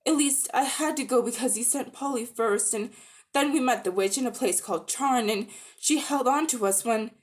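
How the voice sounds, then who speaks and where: A woman's voice: on the high side, normal speed, close to an everyday voice. A woman voicing an earnest, guilty young boy who speaks hesitantly, politely and pleadingly. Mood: nervous.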